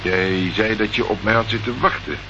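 A tough private detective, played by a man with a fairly low voice and a terse, unflappable way of talking. It is radio drama acting, caught on a dull old recording with clear noise. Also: normal speed, close to an everyday voice. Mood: dry, composed. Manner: terse, dry, probing questions.

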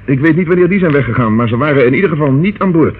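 An actor playing a dutiful police subordinate in a radio drama, formal, brisk and factual. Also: male voice, fairly high pitch, lively intonation, breathy edge. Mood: businesslike. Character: dutiful, clipped police subordinate reporting to his superior. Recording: phone-like, noisy old radio play recording.

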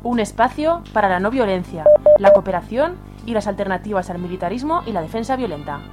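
A woman's voice: medium pitch, lively intonation, fairly quick. A woman from reading a scripted radio promo as its announcer. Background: loud music and sound effects.

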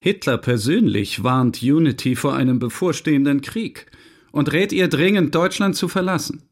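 A man's voice: a little high, expressive, normal speed, no echo, clean studio sound. An actor playing an indignant, jealous male government emissary in a German audio docudrama, agitated and aggrieved. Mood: suspicious.

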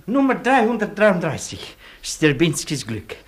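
A loyal, simple-hearted Polish manservant, husky and very lively in pitch. He speaks deferentially and earnestly, as a character in a radio play. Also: unhurried, full character voice. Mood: dutiful, dry. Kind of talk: German radio play acting.

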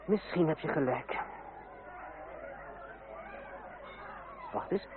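An actor playing a bitter, ironic young outsider in revolutionary France, in a Dutch radio drama with a dry, sardonic delivery. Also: male voice, a little high, fairly quick, noisy background, lightly acted. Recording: tinny old radio recording, crowd behind.

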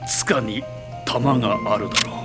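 An actor playing a stern, middle-aged swordsmith father in an anime drama, gruff and emotional. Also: lowish voice, unhurried, loud music behind the voice, natural voice. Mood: dying, strained. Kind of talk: anime voice acting.

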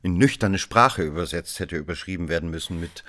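Low voiced weary gentleman narrator, dry and mocking, measured radio drama storytelling. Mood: dry narration. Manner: measured, literary, dryly mocking. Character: world-weary sardonic gentleman recalling a guilty love affair. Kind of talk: literary first-person narration in a radio play.

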